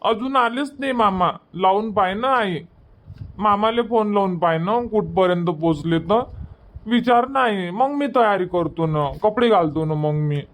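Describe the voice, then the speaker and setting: A man's voice: sits high, unhurried, fairly bright tone. A man voicing a stubborn, pleading little village boy in a cartoon comedy, insistent, with a Varhadi Marathi accent.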